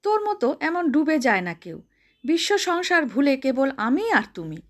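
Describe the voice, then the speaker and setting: A woman storyteller voicing a bossy, sharp-tongued older woman who scolds in brash colloquial speech. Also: high-pitched, big pitch swings, moderate pace. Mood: scolding.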